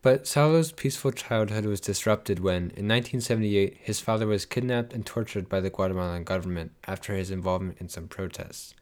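A man narrates a scripted life story in a low voice. He summarizes the events briskly, in a storyteller's way.

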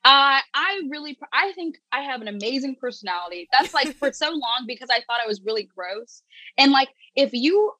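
A young woman chatting as a podcast guest, candid and animated, full of "like" and restarts. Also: high voice, fairly quick, few pauses, dry recording, rough recording. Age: young adult. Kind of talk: casual podcast chat.

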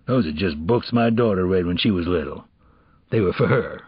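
A man playing a husky, weary ex-convict father. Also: low-pitched, animated, moderate pace, no room sound, highs cut off. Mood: confused, pleading. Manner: street slang, pleading and plainspoken. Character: weary earnest male ex-convict, troubled father. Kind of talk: audiobook character dialogue.

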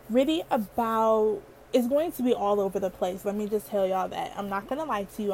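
A woman's voice: mid-range voice, a little mellow, slight background noise, low-quality recording. A young woman in her twenties hosting a casual solo podcast, chatty and playful, laughing often, with a girlish voice. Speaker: podcast host.

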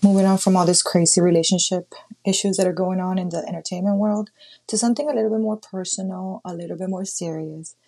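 Host, woman, 30s, mellow lowish voice, chatty gossip delivery with fillers and cussing. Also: fairly even intonation, fast talker, vocal fry. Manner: chatty, full of "like" fillers and casual swearing. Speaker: podcast host. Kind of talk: casual gossip podcast monologue.

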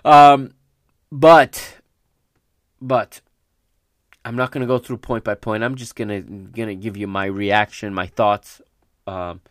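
A man hosting a solo sports podcast, speaking off the cuff in an emphatic, halting way, his voice slightly raspy as it recovers from illness. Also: mid-range voice, no room sound, studio quality. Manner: emphatic and halting, often checks in with "okay?". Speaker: podcast host. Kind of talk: unscripted solo sports commentary.

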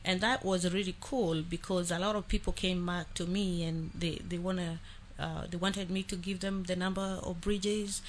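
A woman from Kenya, whose first language is Swahili, speaking as a guest on a radio talk show about her outreach work, earnest and halting with frequent fillers. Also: on the low side, level tone, slight background noise. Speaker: outreach worker, radio ministry host.

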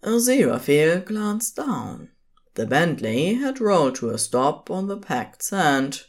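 A woman's voice: sits low, very animated, slow pace. A woman reading scripted fiction as the narrator of an audio drama.

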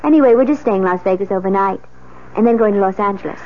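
A tinny, lightly hissy vintage radio play. An actress plays a young newlywed woman, eager and polite, quick to defend her new husband, with a medium-pitched voice. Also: natural voice. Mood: eager, cheerful. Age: young adult. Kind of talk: 1970s radio drama acting.

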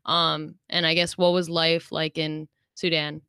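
An upbeat young woman hosts a podcast in a chatty, casual way. Her voice is fairly low and smooth. The sound is close and dry. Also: little pitch movement, unhurried, pushed and bright, no echo. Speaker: podcast host.

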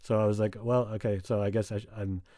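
Young guy telling a story, low voice, lots of um and like, dry close mic. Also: little pitch movement, fast, warm tone, no room sound. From United States. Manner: rambling, many fillers and restarts. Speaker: guest. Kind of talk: casual conversation.

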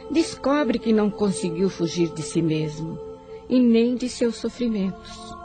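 A narrator of a radio serial speaking in a grave, measured, preachy way. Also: medium pitch. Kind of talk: solemn scripted narration. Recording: music behind the voice. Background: music.

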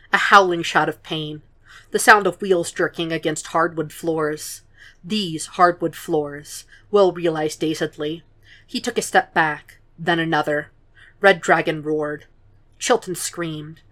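Low-voiced woman reading a story. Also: fairly bright tone. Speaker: fan fiction reader. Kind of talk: podfic narration.

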